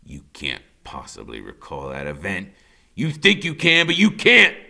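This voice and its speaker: Man acting a menacing, domineering father, sharp and forceful. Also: low voice, huge pitch swings, a little slow, creaky, full character voice. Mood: cold, insistent. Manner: threatening, scornful, domineering. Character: menacing violent father, cold killer. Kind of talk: dramatic audiobook character reading.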